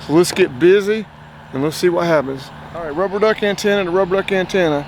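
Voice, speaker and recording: A man with a North Carolina accent hosting a casual outdoor radio test, talking in a relaxed, chatty way and explaining as he goes. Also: high voice, fast, slight background noise. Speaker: outdoors channel host. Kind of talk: casual gear-test vlog. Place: outdoors by a car.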